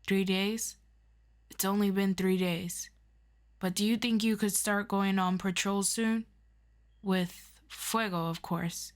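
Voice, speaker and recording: A woman playing a calm, formal superior of a superhero team in an audio roleplay, asking measured, probing questions. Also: mid-range voice, lightly acted. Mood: courteous, probing. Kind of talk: scripted audio roleplay addressed to the listener.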